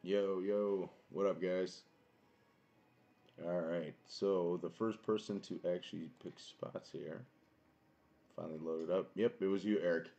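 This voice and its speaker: A young man with a booming voice streaming a card box break from a small home room, chatting casually and greeting viewers by name. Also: fairly even intonation, unhurried, gravelly, warm tone, highs cut off. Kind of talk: casual live-stream chat.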